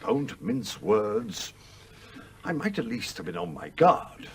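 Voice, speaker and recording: An actor playing the irascible, roguish ghost of a sea captain in a radio play, blustering and commanding. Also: male voice, fairly high pitch, huge pitch swings, slow, vocal fry, slight background noise, heavily acted. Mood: indignant. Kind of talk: British radio play acting.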